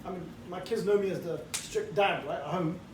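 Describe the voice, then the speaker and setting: A middle-aged man with a fairly high voice, talking a group through a casual, cheeky briefing. He was recorded from across an echoey room, with faint noise underneath. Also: normal speed. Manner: cheeky, relaxed, jovial banter. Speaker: street preaching trainer. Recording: roomy echo, distant mic, faint background noise.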